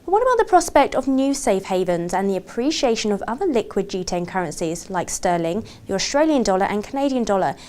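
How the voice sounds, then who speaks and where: A woman presenting as a financial TV interviewer. Her delivery is formal and articulate, with prepared questions read in broadcast style. The voice is of ordinary female pitch. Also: sing-song, fast talker, few pauses, darkish tone. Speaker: TV journalist, interviewer.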